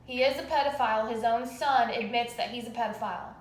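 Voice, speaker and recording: A woman's voice: on the high side, fairly quick, a little hiss or hum. A woman calling in a complaint over the phone, explaining insistently and in detail. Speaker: caller making a complaint to police. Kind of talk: phone call, recounting a complaint. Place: recorded phone call, echoey. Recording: echoey phone call recording.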